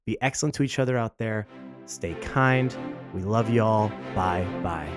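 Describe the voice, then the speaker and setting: A man's voice: on the low side, normal speed, over music. A man narrating casually as game master and host of a tabletop game podcast.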